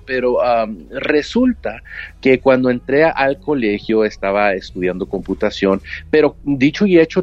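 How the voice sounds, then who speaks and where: A man's voice: medium pitch, dry recording, muffled recording. A man with a Mexican accent whose first language is English, a nurse telling his story earnestly in an interview. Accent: Mexican Spanish. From United States, raised with Mexican family. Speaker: guest, nurse and author.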